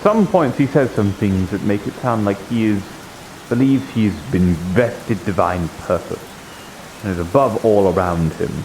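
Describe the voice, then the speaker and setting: A man's voice: on the low side, measured pace, a little mellow. A man improvising roleplay dialogue in a hesitant, thinking-aloud manner. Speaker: streamer role-playing in a VR game. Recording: clearly noisy with rain-like hiss.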